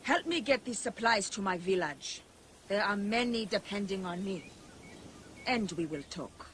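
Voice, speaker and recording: Casting: hardy, caring village protector in a historical game, average pitch, firm delivery. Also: female voice, animated, measured pace, fairly bright tone, slight background noise. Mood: guarded. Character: tough, caring rebel woman sheltering villagers in ancient Egypt. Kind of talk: video game cutscene acting.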